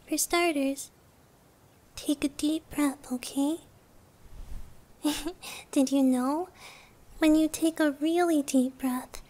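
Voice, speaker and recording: A woman voicing a gentle, childlike dream-guardian deity in a hushed, reassuring ASMR roleplay, often close to a whisper. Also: high voice. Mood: soothing. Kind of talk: ASMR roleplay, soft soothing delivery.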